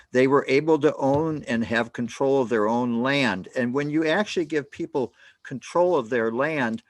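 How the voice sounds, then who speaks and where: A man moderating a talk, speaking in a halting, hesitant way with many restarts. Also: mid-range voice, no room sound. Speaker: host.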